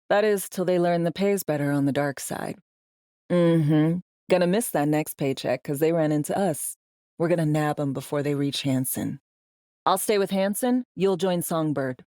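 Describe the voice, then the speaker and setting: A woman's voice: lowish voice, a little mellow, no room sound, natural voice. A woman acting a world-weary undercover agent in a video game, with a dry, sardonic, conversational delivery. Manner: dry, sardonic, slangy and clipped. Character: world-weary, wry female undercover spy in a dystopian city.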